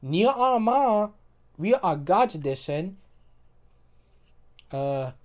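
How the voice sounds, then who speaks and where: A woman hosting casual gaming commentary, opinionated, often repeating and restarting words, her voice getting hoarse. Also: medium pitch, big pitch swings, slow, a little mellow, highs cut off. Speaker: gaming video host.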